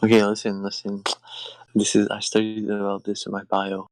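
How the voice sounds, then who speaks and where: A man's voice: on the low side, completely flat delivery, moderate pace, a little mellow, no room sound, poor audio. A young man hosting a crude comedy podcast over a call, rambling and swearing between laughs. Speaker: podcast host. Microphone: remote call over shaky wi-fi.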